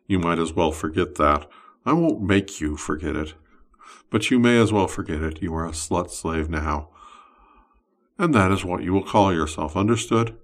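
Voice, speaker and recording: A man's voice: deep voice, normal speed, close to an everyday voice. A man voicing a commanding, possessive male wizard who gives stern orders.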